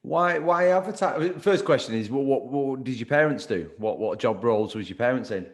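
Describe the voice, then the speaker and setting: A man's voice: medium pitch, moderate pace. Bloke hosting a podcast, chatty, trips over his questions. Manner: friendly, stumbling restarts in questions. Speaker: podcast host. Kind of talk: casual interview chat.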